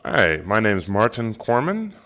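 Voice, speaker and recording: A man's voice: on the low side, huge pitch swings, normal speed, fairly bright tone. A man from Seattle, talking in a friendly way with many fillers. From Seattle, United States. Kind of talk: unscripted answers for a learner video.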